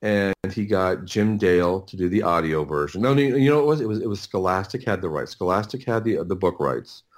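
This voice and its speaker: Low-voiced man, halting and rambling. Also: expressive. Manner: halting, rambling, repeats words and restarts often. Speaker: interview guest, audiobook producer. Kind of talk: casual conversational interview.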